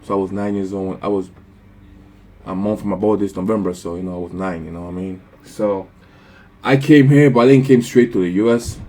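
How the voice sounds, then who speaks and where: A man's voice: sits low, fairly quick, dark tone. A 24-year-old man from the Dominican Republic with a Caribbean English accent from the Virgin Islands, rambling casually through unedited vlog questions, swearing and saying "you know what I mean" constantly. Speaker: vlogger answering viewer questions.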